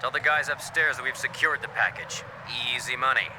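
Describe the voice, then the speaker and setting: An actor playing a cocky commando leader in a video game, reporting in clipped military radio talk. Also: male voice, medium pitch, steady tone, a little fast, close to an everyday voice. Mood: confident. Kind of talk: scripted video game voice acting. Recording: noisy intercepted radio transmission in game.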